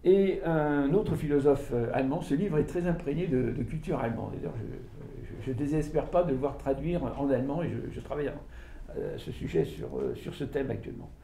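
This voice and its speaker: An older man giving an informal talk about his book to an audience, with halting delivery, lots of fillers and restarts, recorded from a camera across the room. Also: mid-range voice, expressive, creaky, dark tone. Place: small room with audience, indoors. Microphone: far camera, no mic seen. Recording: echoey room from far off.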